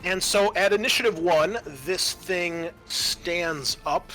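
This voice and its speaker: A man's voice: high-pitched, slow, sharp tone, soft background music, low-quality recording. A man speaking as game master, casually explaining a tabletop horror game to his players.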